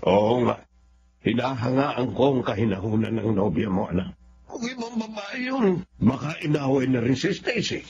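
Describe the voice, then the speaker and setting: A man acting as a middle-aged Filipino father in a radio drama. He is calm and caring, with a medium-pitched voice on slightly dull audio. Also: moderate pace, a crowd in the background, close to an everyday voice. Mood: concerned, approving.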